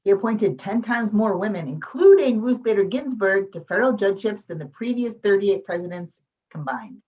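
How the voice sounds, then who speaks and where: A woman's voice: medium pitch, animated, normal speed, darkish tone, lightly acted. A woman at a script table read playing an earnest, persuasive, idealistic peacemaker, on a poor microphone. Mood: earnest pleading. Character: earnest, idealistic peacemaker who pities the shark. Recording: dull, distant lo-fi mic.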